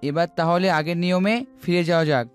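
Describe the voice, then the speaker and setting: A man's voice: sits high, steady tone, fast talker, lightly acted. A man voicing a proud but reflective sky spirit in a cartoon folk tale. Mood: conciliatory. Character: sun and moon as proud, reflective rival spirits. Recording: music behind the voice.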